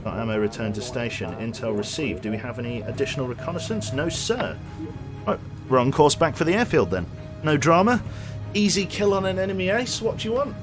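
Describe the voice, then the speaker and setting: A man giving gameplay commentary as a streamer, excitable and casually swearing, his voice going a little hoarse. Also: on the high side, brisk, music in the background. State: losing his voice.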